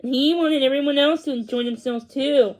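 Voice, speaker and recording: Female storybook reader, high-pitched voice, narrating for children with slightly halting delivery. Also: a little mellow.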